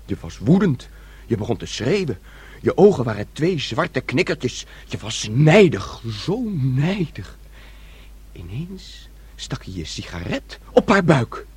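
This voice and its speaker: A man acting in a radio drama as a naive, childlike son who dreams aloud in a rambling, excitable way. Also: mid-range voice, slow pace, slightly rough, full character voice. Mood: dreamy storytelling.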